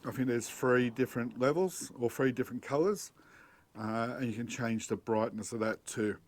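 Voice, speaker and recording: A man's voice: mid-range voice, fairly even intonation, normal speed. A middle-aged man with an Australian accent, giving a casual, matter-of-fact DIY walkthrough as a vlog host. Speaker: host of a DIY four-wheel-drive channel. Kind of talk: casual unboxing and installation walkthrough. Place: outdoors in a backyard, later inside a car cabin.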